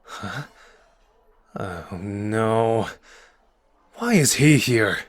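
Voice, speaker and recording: Cocky, playful young sorcerer with lively swoops, man acting. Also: lowish voice, very slow, no room sound, exaggerated voice. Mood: dismayed. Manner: casual, teasing, intimate and conversational. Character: cocky, playful young male sorcerer, earnest and protective underneath. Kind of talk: ASMR roleplay acting addressed to the listener.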